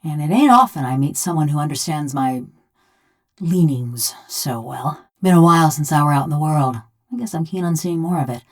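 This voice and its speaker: An actress playing a rustic, sardonic, vengeful female killer trapped in a golem's body for a video game, with a wry, plain-spoken manner. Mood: wry fondness. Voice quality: low-pitched, folksy rural speech. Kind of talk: video game character dialogue.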